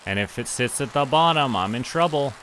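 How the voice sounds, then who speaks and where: A man's voice: medium pitch, expressive, a little fast, fairly bright tone, a little hiss or hum, poor audio. A man chatting casually to himself and to viewers as he streams a game. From Canada. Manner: talks to himself and viewers while playing. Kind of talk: casual game livestream chat.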